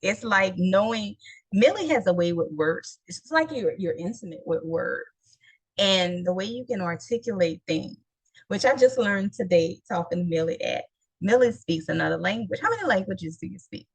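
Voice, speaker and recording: Lively New Orleans woman hosting. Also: medium pitch, moderate pace, vocal fry, husky, dry recording, low-quality recording. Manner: animated, laughs often, playful slang. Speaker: host. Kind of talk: casual interview chat.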